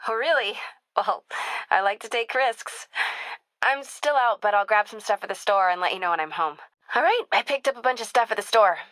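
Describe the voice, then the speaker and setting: An actress with an American accent playing a caring, determined young reporter in a video game, speaking in an earnest, conversational, confiding way. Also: female voice, mid-range voice, lively intonation, brisk, fairly bright tone, no room sound, close to an everyday voice. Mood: light, warm. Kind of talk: naturalistic video game acting.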